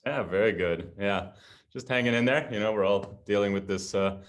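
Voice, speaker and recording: Man, very low voice, casual host, plenty of ums and you knows. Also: moderate pace. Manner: friendly, loose, many fillers. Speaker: podcast host. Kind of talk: casual interview chat. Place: home in Amsterdam.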